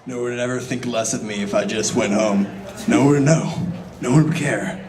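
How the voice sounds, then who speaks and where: An echoey room recording of a man acting out a brooding young male swordsman for a comic story reading. He grumbles through his lines, embarrassed and easily irritated, at an ordinary male pitch. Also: brisk, natural voice. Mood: grumbling, embarrassed. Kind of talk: dramatic fan fiction reading played for comedy.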